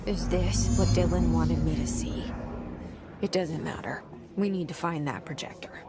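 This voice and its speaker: An actress voicing a guarded, searching young woman investigator in a hushed, introspective inner monologue for a video game. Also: fairly low pitch, normal speed, close to an everyday voice. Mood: determined, uneasy. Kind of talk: scripted video game narration. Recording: loud music behind the voice.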